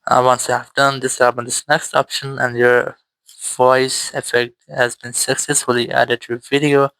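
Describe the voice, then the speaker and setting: Male tutorial host: crisp, even delivery, instructional step-by-step narration, close and dry. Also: medium pitch, normal speed, no echo, poor audio. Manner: instructional, repetitive step-by-step phrasing.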